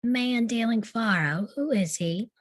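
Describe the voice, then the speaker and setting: A woman's voice: on the high side, measured pace, lightly acted. A woman playing a cool, curious lady of the Old West in a live table read.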